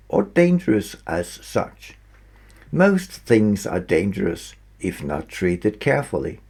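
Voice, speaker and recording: A man reads an audiobook slowly in a low, gravelly voice. He phrases deliberately, setting short pieces apart with emphasis, and his pitch moves expressively. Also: darkish tone. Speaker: author reading own audiobook. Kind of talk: scripted audiobook narration.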